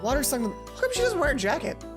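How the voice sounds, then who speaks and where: A woman's voice: high-pitched, wildly swooping pitch, fast, music in the background. A woman hosting a let's play, reading game text aloud expressively and breaking into playful, rambling, casual asides.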